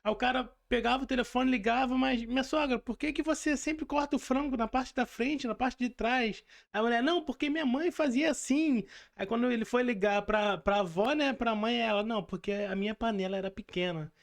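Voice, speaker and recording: A man's voice: very high-pitched, a little fast, creaky, few pauses. A man with a Brazilian accent hosting a podcast, telling anecdotes in a casual, conversational way. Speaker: podcast host. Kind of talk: casual chat, anecdotal storytelling.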